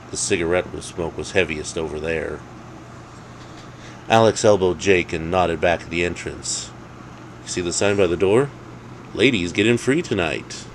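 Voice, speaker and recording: A man with a low voice narrates a scripted story. He reads as the storyteller, and a steady background noise sits behind the voice. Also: rough recording. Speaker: author and narrator.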